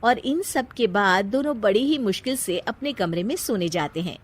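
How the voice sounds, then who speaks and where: A woman's voice: mid-range voice, animated, moderate pace, breathy edge. A woman narrating a scripted story, playfully addressing her listeners.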